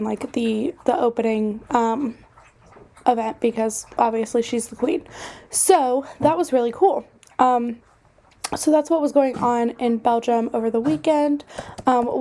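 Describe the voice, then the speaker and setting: A young woman hosting a casual podcast, chatty and self-deprecating with lots of fillers, her voice slightly hoarse from a cold. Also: on the high side, warm tone. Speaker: podcast host. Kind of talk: casual solo podcast chat.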